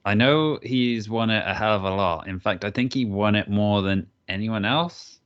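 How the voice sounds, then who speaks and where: A man co-hosting a podcast, relaxed and low-key as he asks and answers quiz questions. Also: normal speed, clean tone, highs cut off. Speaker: podcast co-host. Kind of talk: casual podcast chat.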